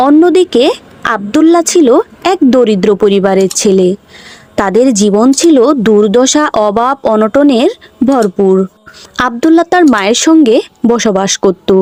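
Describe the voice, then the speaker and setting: High-voiced woman narrating a children's story. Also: a little mellow, no echo.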